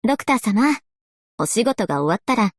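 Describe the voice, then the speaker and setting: An earnest young woman historian for a game. Her voice is high and lively in melody, recorded dry and close. She speaks with very formal, genteel courtesy. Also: fairly quick, no echo. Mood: courteous, gentle. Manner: very formal, courteous, refined phrasing. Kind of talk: scripted game character voice lines.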